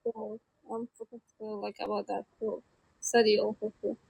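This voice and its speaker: A woman in her twenties from Baghdad with an Iraqi Arabic accent, chatting as an interview guest in halting learner's English full of fillers. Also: medium pitch, flat delivery, gravelly, a little mellow, slightly rough, no room sound, poor audio. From Baghdad, Iraq. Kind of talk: casual interview chat.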